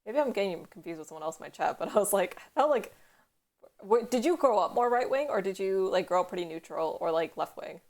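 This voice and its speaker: A young woman from Canada hosting a casual stream chat, rambling quickly with lots of "like" and casual swearing. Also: medium pitch, animated, a little mellow. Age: young adult. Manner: fast, rambling, heavy use of "like", swears. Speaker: streamer host.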